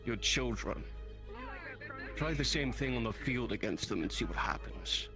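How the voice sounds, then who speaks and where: Clear music plays under a man voicing a bitter wolf man in a fantasy roleplay. He lectures sternly and menacingly, proud and scornful, at a medium male pitch. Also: lively intonation, normal speed, natural voice. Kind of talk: live improvised fantasy roleplay. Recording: music behind the voice.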